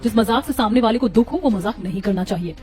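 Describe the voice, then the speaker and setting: A woman playing a protective elder sister-in-law in a cartoon, calm, firm and guiding. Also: fairly high pitch, fast, lightly acted.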